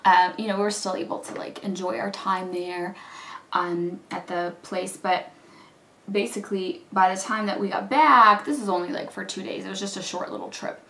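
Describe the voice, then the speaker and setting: A woman in her thirties, slightly hoarse from a cold, chatting casually and rambling with lots of fillers while doing her makeup at home. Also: mid-range voice, steady tone, normal speed, sharp tone. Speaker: beauty vlogger. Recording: roomy echo, mic at a distance.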